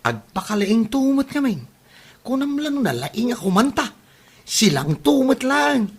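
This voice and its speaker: A woman's voice: on the high side, very animated, unhurried, slightly rough, exaggerated voice. A woman playing a gossipy younger family member in an Ilocano comedy drama. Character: gossipy younger relative answering mother.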